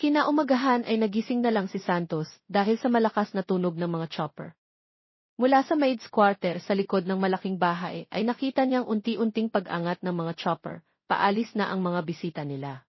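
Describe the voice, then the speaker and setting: A woman's voice: medium pitch, expressive, moderate pace, slightly rough, no room sound, dull audio. A woman narrating a romance story from a script, as a storyteller.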